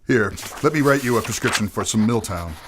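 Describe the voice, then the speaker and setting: A man playing a calm, reassuring and mildly dismissive family doctor in a radio play. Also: fairly low pitch, a little fast, noisy background, close to an everyday voice. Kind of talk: mid-century radio play acting.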